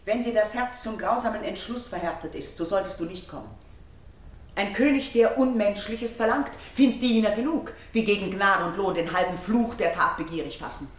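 An actress as a proud, solemn priestess in a classical radio play. She declaims verse with grave conviction at a middling pitch, in an echoing, roomy sound. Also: normal speed, natural voice. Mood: firm defiance. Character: proud, principled priestess princess, solemn and defiant.

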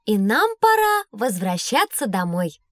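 A woman's voice: medium pitch, unhurried, no room sound, studio quality. A woman playing an eager, inquisitive young child who wonders at things in an educational audio drama. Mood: wondering. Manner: wondering, animated.

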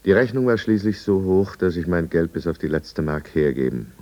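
Remorseful drinker-narrator, 1950s radio drama; bottomless bass, measured confession, dull archival recording. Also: male voice, lively intonation, dark tone. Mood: confessional, tense. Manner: measured, brooding. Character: remorseful male drinker confessing his own downfall. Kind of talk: scripted first-person narration in 1950s German radio drama.

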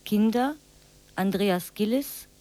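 Very slow, measured female radio announcer. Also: mid-range voice, warm tone. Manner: measured, neutral, carefully enunciated. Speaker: announcer reading cast credits. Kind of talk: formal scripted radio announcement.

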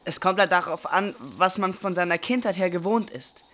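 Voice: medium pitch, moderate pace, fairly bright tone, a little hiss or hum, close to an everyday voice. An actor playing a good-natured young frontier camp dweller in a radio play, affable and earnest.

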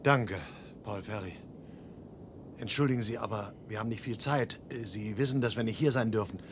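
An actor playing a cautious, earnest adult son on an urgent, forbidden search in a science-fiction radio play. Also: male voice, moderate pace, natural voice. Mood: wary. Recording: noisy vintage radio play, low rumble.

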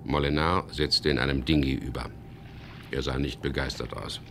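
A weary sea skipper with an extremely low, creaky voice. He tells his story drily and with measured irony, as in a 1970s crime radio play. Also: fairly even intonation, fairly bright tone, slight background noise. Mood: tense narration. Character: wry, weary British boat skipper narrating his adventure. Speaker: first-person narrator and lead character.

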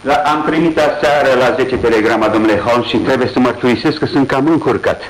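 A man's voice: medium pitch, lightly acted, rough recording. A man playing a polite, puzzled seller. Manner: polite and slightly bewildered. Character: courteous male provincial seller, puzzled but obliging. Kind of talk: 1980s radio drama acting.

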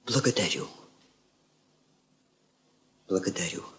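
An actress playing a composed, dignified female ambassador, measured and courteous with dry authority, in stage-style radio drama. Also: extremely low pitch, expressive, a little hiss or hum, exaggerated voice. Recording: echoey old studio drama recording.

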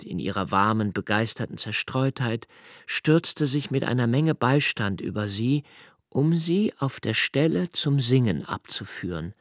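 An actor playing a reflective young male diarist, narrating his memories in a literary radio drama with measured, deliberate delivery. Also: mid-range voice, no room sound, clean studio sound.

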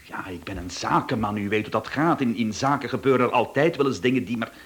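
A man's voice: medium pitch, quick pace, close to an everyday voice. A man with a Flemish accent playing a nervous, incredulous businessman in a radio drama, hesitant and prone to stammering. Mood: indignant, defensive. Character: nervous, incredulous male businessman, easily flustered.

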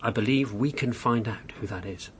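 A man plays a frank, restless housebound husband in a radio drama. He talks in a mid-pitched voice with a needling, mischievous edge, over a slightly noisy, rumbling track. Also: moderate pace, close to an everyday voice. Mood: conspiratorial.

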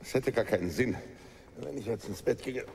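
A man playing an older gentleman, gravelly deep voice, reverberant room, loud hiss. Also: moderate pace, close to an everyday voice. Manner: hesitant, courteous. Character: older gentleman Rebmann, sleepless host. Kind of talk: radio drama acting.

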